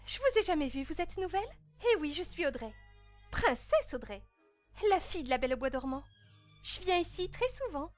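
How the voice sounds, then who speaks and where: A woman's voice: sits high, lively intonation, fairly quick, soft background music. A woman voicing a spoiled, jealous teenage princess with a snooty, dramatic manner in a fan-dub narration. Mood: haughty, introducing herself.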